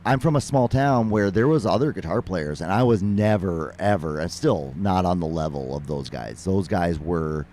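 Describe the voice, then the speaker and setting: A man from Minnesota with a lowish voice, talking in a modest, halting way with frequent restarts. A faint hiss sits under his speech. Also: lively intonation, a little mellow. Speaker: guest musician. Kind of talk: casual interview talk.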